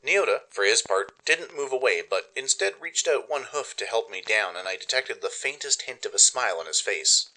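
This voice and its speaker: Bright-voiced man narrating a radio drama. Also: mid-range voice, expressive, moderate pace, few pauses, poor audio. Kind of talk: dramatic scripted first-person story narration.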